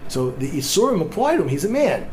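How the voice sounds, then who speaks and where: Man, middle-aged, rabbi, lecture, Hebrew legal terms, restarts sentences, slightly high pitch. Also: big pitch swings, fast talker, a little mellow, a little hiss or hum. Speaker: rabbi teaching a class.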